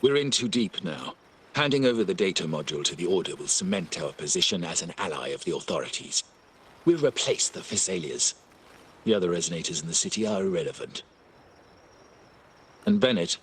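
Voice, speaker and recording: An actor playing a ruthless, calculating crime family boss in a video game, cold and commanding, with a husky, rough voice. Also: male voice, low voice, a bit bright, heavily acted. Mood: cold menace. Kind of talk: dramatic video game voice acting.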